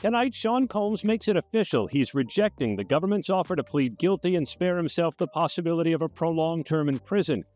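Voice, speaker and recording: Higher-pitched male news reporter. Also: animated, fairly quick, hardly any pauses, darkish tone, soft background music.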